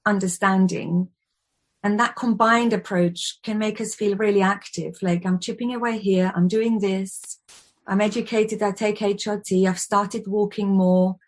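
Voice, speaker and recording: A woman's voice: mid-range voice, fairly even intonation, unhurried. Chatty woman in her forties. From Austria. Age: 40s. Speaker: guest, wellbeing expert and yoga teacher. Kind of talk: conversational interview.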